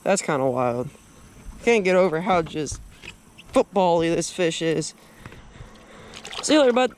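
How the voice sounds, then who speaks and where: A man's voice: fairly high pitch, very animated, a little slow. A young American man giving casual, folksy fishing vlog commentary with repeated short phrases, outdoors on a boat. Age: young adult. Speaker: fishing vlogger. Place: outdoors on a small boat on a lake. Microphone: action camera, worn or at arm's length. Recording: boat and outdoor background noise.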